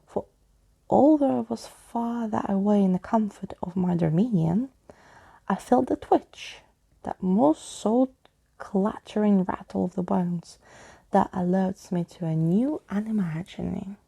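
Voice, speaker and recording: A woman's voice: mid-range voice, a little slow, gravelly, dark tone, slightly rough. A woman voicing a courtly, eccentric male skeleton from a storybook, with a pompous, old-fashioned manner. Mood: grandly declaring.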